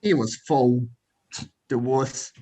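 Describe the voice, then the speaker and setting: A man's voice: mid-range voice, slow pace, mellow, slightly rough. A man playing an old, grumbling ghost grandfather in an amateur radio drama.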